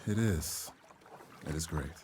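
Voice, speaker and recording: An actor playing a gentle, forthright castaway man in love, with a measured and sincere delivery, in a period audio drama. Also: extremely low pitch, slightly rough, heavily acted. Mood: subdued.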